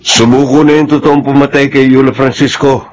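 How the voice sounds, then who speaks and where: Male actor as formal Filipino police officer, mid-pitched, matter-of-fact, radio drama with faint noise. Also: flat delivery, fairly quick, close to an everyday voice, rough recording.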